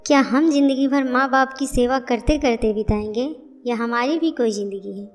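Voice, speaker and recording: A woman's voice: fairly high pitch, normal speed, close to an everyday voice. A woman voicing a bitter, sarcastic young daughter-in-law who scolds her in-laws in a cartoon family story, with music behind her. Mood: angry, resentful. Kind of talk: Hindi moral-story cartoon dialogue.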